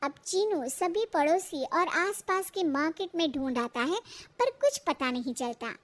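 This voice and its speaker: A woman's voice: very high-pitched, lively intonation, measured pace. A woman storyteller reading a scripted story narration.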